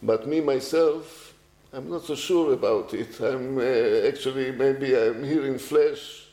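An older man from Israel, answering interview questions in a halting way with many fillers and restarts. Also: mid-range voice, dark tone, echoey room. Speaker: guest, agriculture technology executive.

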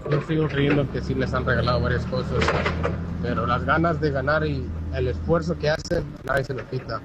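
Mexican guy calling in w his opinion, really loud car noise, muffled sound. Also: a little high. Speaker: caller. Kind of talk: casual opinion in a voice message.

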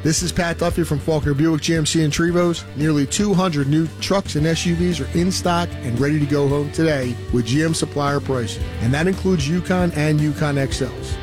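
A man reading a scripted car dealership pitch for a radio advertisement.

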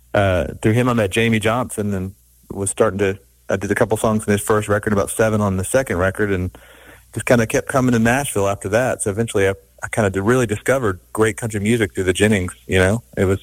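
A man's voice: fairly low pitch, no room sound, rough recording. A man from Georgia, a middle-aged music producer, talking casually in an interview and rambling with many restarts. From Savannah, Georgia, US.

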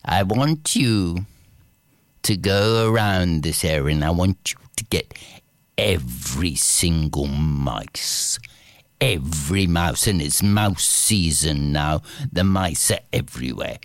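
Man voicing a storybook king of cats, gruff, commanding, low gravelly voice, slow. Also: no echo, full character voice. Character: wily old man turned king of cats.

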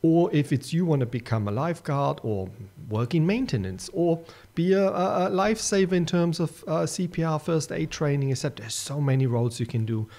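A man's voice: medium pitch, darkish tone. German-accented man, eager club volunteer. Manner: earnest, enthusiastic, with restarts and fillers. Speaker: surf club secretary and media manager. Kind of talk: radio interview guest.

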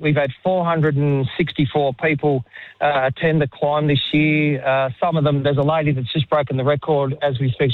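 A man with an Australian accent, a firefighter speaking hesitantly on a call to a radio show. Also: fairly high pitch. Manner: hesitant, with many fillers. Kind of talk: phone interview. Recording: tinny phone line.

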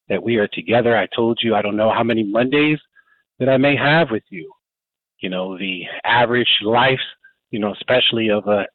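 A man's voice: on the low side, no echo. A man in his fifties hosting a casual community radio talk show, rambling with many fillers and restarts. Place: Baltimore, Maryland. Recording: dry, phone-like narrow band.

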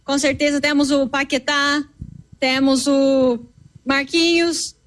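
A woman's voice: high-pitched, level tone, slow, fairly bright tone. A woman from Brazil with a southern gaúcho accent, answering a reporter's questions outdoors in a cheerful, confident way. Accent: Brazilian Portuguese, southern (gaúcho). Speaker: fan interviewed. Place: outdoors outside a stadium.

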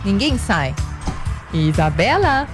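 A woman co-anchoring a live news show, chatty and quick with her sign-off. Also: medium pitch, big pitch swings, over music. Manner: chatty, quick, laughs easily.

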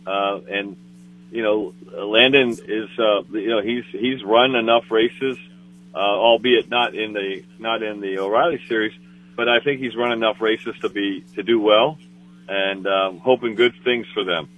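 A man co-hosting a motorsport talk show, speaking haltingly with many fillers and repeated words. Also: on the low side, fairly even intonation, slight background noise. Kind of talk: casual motorsport chat. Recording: tinny phone line.

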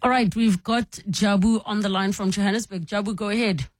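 Woman for a radio call-in host, brisk friendly moderator, dry studio mic. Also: mid-range voice, steady tone, dry recording.

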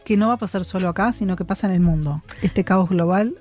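A woman's voice: lowish voice, fast, darkish tone, soft background music. Chatty Rioplatense woman interviewer. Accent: Rioplatense Spanish. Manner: chatty, finishes the guest's thoughts. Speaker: interviewer host. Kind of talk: casual interview conversation.

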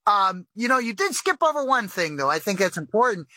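A man's voice: high-pitched, very animated, a little fast, bright, forceful tone, no echo. A man from Michigan, a former legislator hosting an interview, chatty and loose, with false starts and light laughs. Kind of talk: conversational interview.

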